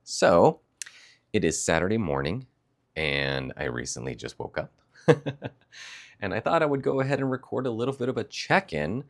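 A young man with a stuffy nose giving a casual, rambling morning check-in, laughing often between thoughts. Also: sits low. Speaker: streamer.

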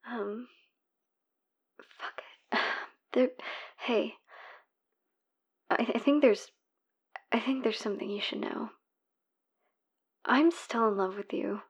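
A woman's voice: on the high side, slow, long pauses, slightly rough, exaggerated voice. A woman whispering as a heartbroken ex begging. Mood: yearning. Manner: hushed, pleading, swears in frustration. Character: heartbroken young woman begging her ex back. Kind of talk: whispered audio roleplay voicemail.

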